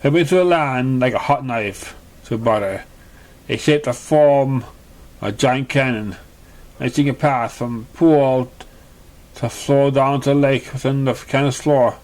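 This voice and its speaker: Slow, halting male story narrator. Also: medium pitch, darkish tone. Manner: halting, stumbles over words. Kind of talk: amateur reading of fan fiction narration.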